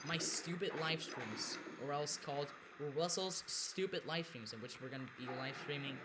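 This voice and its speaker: Mexican man streaming games over loud noise. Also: a little high. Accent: Mexican Spanish. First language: Spanish. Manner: halting, self-correcting, chatty. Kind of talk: gameplay livestream commentary.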